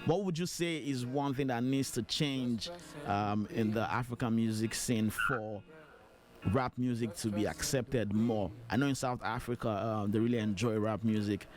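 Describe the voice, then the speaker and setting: Fast-talking Nigerian radio host man. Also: medium pitch. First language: Yoruba. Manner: fast, animated, with frequent fillers. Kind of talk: radio interview.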